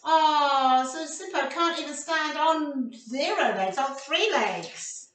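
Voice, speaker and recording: A woman presenting storytime for young children, reading interactively and posing animated questions to her little listeners. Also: high-pitched, slow. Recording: roomy echo, distant microphone.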